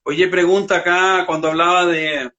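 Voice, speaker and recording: A man's voice: high voice, level tone, moderate pace, pushed and bright, dull audio. A man hosting a radio interview, asking questions in a conversational way with long lead-ins. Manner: conversational, prefaces questions at length. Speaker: radio show host.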